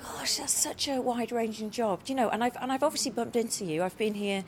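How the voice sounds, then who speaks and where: A woman interviewing a guest, enthusiastic and quick to exclaim in surprise. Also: fairly high pitch.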